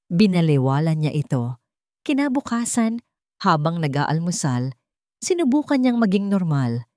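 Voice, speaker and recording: A woman storyteller giving expressive, dramatic scripted narration of a love drama. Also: sits low, no room sound, studio quality.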